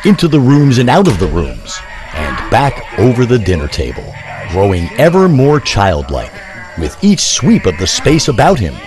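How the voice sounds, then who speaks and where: A man's voice: medium pitch, wildly swooping pitch, moderate pace, music in the background. A man narrating a scripted audio drama as a theatrical, wordy storyteller.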